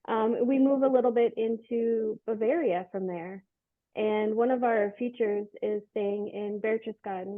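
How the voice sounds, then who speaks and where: Female host, mid-pitched, conversational promotional interviewing, narrow tinny call sound with no room echo. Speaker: interviewer and tour company host. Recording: tinny phone-like call audio, dry.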